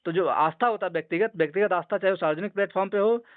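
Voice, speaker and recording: A man's voice: high voice, very fast, no echo. A man in a heated phone argument, confrontational and insistent, repeating himself and cutting in. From Uttar Pradesh, India. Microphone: telephone. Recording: narrowband recorded phone call.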